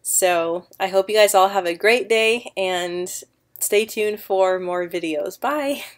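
A woman's voice: mid-range voice, takes it slowly. A woman in her twenties vlogging casually to camera on a webcam mic from her sofa at home, stuttering often on sounds and words, earnest and encouraging. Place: living room, seated on a sofa indoors. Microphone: built-in webcam mic.

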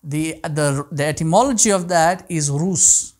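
A man's voice: fairly high pitch, measured pace, darkish tone. A man in his thirties whose first language is Kutchi, teaching a class from a small room and explaining things with many restarts. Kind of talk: online class lecture answering questions. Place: small indoor living room.

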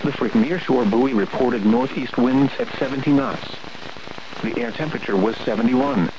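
A man's voice: mid-range voice, moderate pace, highs cut off. Static-buried synthetic American male weather announcer. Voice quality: synthetic text-to-speech voice. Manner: flat, clipped, formal reading in short phrases. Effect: radio broadcast. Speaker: automated weather radio announcer. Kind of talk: scripted weather bulletin reading. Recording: static-laden weak-signal weather radio reception. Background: radio static.

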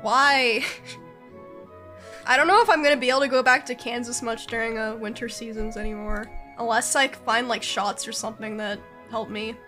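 A young woman talks casually to her stream from a bedroom setup, her voice high and congested. She sounds playfully exasperated as she jokes with her chat. Faint music plays behind her. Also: steady tone, normal speed, sharp tone. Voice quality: nasal, congested.

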